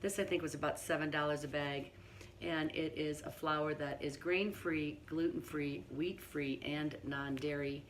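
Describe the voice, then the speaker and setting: A hoarse middle-aged woman with laryngitis, talking quietly and partly whispering as she demonstrates a recipe in her home kitchen. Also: sits low, steady tone, a little slow, slight background noise. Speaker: home cooking host. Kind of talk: casual cooking demonstration.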